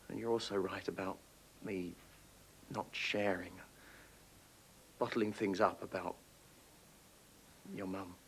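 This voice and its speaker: An actor playing a gruff widowed father who turns halting and apologetic toward his daughter. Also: on the high side, a bit bright, slight background noise, heavily acted. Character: grumpy grieving widowed father.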